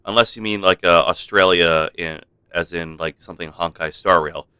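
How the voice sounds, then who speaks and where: Deep, bright-voiced male game streamer. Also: steady tone, normal speed, clear, resonant tone, no room sound, clean studio sound. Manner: rambling, playful, mock-stern. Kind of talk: casual live-stream chat commentary. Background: soft game music.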